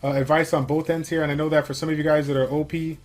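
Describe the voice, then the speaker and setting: A man hosting a casual game livestream, reading viewer questions from chat and answering in a relaxed, filler-heavy way. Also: on the high side, quick pace. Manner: chatty, many fillers, reads viewer questions aloud. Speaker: stream host.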